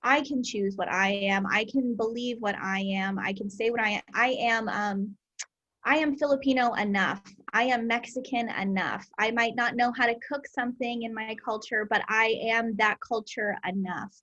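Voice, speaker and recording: A woman's voice: on the high side, moderate pace, a bit bright, highs cut off. Earnest woman hosting a discussion. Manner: earnest, emphatic, reflective. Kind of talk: casual discussion.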